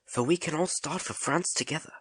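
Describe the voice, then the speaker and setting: A woman's voice: sits low, fairly quick, husky, pitched below the performer's own voice. A woman doing a breezy boyish young detective. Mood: flippant. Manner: flippant, breezy. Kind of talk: audiobook character voice.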